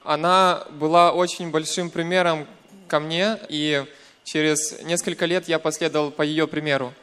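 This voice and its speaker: A young man from western Ukraine with a Ukrainian accent giving a personal testimony in church, in short hesitant segments with pauses. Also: on the high side, little pitch movement, fairly bright tone, clear, resonant tone. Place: church, speaking to a congregation.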